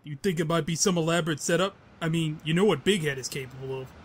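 Man playing a high-voiced slick government lawyer. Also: fast, slight background noise, close to an everyday voice. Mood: nervous, evasive. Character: slick, self-serving male assistant district attorney. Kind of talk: comic-book audio drama acting. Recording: music under the voice.

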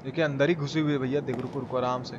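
A young man doing casual, slangy live game commentary, repeating his phrases excitedly and chatting with viewers. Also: medium pitch, fast talker, highs cut off, background noise. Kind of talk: casual gameplay commentary with chat replies.

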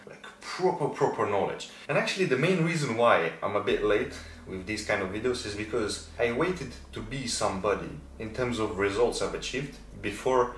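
Low-voiced Moldovan man, roomy sound. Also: fairly bright tone. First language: Romanian and Russian. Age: 20s. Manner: emphatic, repeats words for stress. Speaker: fitness content creator and athlete. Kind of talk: casual explanatory talk to camera. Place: indoor room, decorated for Christmas. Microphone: small lapel microphone. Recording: roomy echo, distant mic, faint noise.

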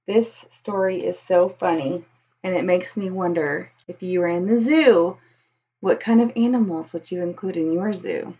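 An American woman in her thirties reads a picture book aloud to children, expressively and with drawn-out emphasis. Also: fairly low pitch, slightly rough, highs cut off. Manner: animated storytelling with drawn-out emphasis. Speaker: elementary school teacher reading aloud. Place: small room at home with bookshelf.

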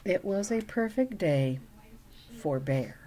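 A middle-aged woman reading a picture book aloud as a storytime reader, with chatty asides and expressive, drawn-out emphasis. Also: on the low side, slight background noise. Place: small room at home.

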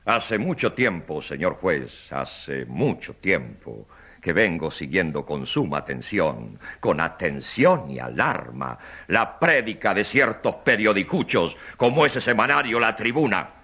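A pompous populist politician on trial in a radio drama. He orates in sweeping, highly animated swings with a bright, forceful edge. His delivery is slow and grandiose, full of dramatic repetition and evasion. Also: husky, full character voice. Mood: insinuating, scornful. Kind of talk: radio drama courtroom acting.

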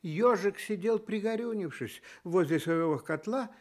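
A man telling a children's fairy tale for Soviet radio in an expressive storytelling style. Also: high voice, unhurried.

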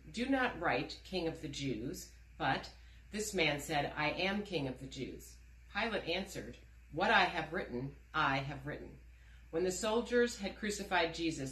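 A middle-aged woman giving a measured scripture reading as a church member, recorded indoors. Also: low-pitched, a bit bright. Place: indoor room with wooden door. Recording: roomy indoor echo.

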